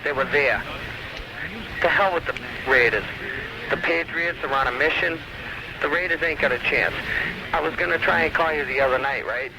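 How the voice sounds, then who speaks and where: A man's voice: fairly high pitch, moderate pace, pauses often. A young man phoning a sports radio show, chatting casually in an emphatic, agreeable, colloquial way. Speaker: phone-in caller. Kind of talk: casual sports fan chat. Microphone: telephone. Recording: noisy phone call on old radio.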